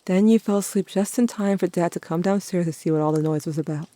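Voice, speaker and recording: A performer playing a young teenage narrator telling a haunted story in a measured, scripted audio-drama style. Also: medium pitch, warm tone, no room sound, natural voice. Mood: solemn, haunted. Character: young Cain, grieving, watchful older teenage narrator.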